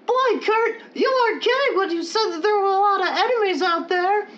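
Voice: very high voice, a little fast, fairly bright tone, slight background noise. A performer playing an eager, wide-eyed little boy sidekick in a 1940s radio war serial. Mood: impressed. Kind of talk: 1940s old-time radio serial acting. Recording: distant, echoey, muffled radio recording with music.

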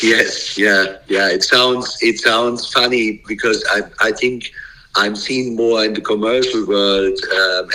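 Man, german accent, hoarse and fading voice, slow halting speech, bright forceful tone, many ums. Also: lowish voice, rough recording. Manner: halting, many fillers and restarts. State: losing his voice. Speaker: bloodstock agent and breeder.

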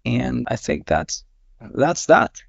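A man's voice: mid-range voice, sing-song, takes it slowly, creaky, no room sound. A man from Sweden, a company CEO, explaining his process methodically with many "uh"s in a panel podcast. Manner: methodical, filler-laden explaining. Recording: dull dry lo-fi sound.

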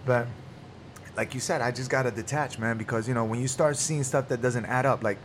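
Ny dude on a podcast, lively, lots of slang, mid-pitch voice, faint hum. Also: male voice, brisk. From New York City. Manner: animated, loose street slang. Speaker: podcast co-host. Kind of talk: casual chat.

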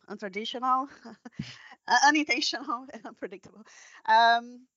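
A woman's voice: mid-range voice, a bit bright, dry recording. Brazilian lady scientist chatting on a podcast, feels for her words a bit, dry lo-fi audio. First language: Brazilian Portuguese. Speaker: guest scientist. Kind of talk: conversational podcast interview.

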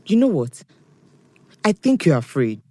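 A woman's voice: fairly low pitch, big pitch swings, measured pace, dark tone. A woman with a Nigerian accent playing a teasing, nosy, affectionate young woman in an audio drama. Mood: fond.

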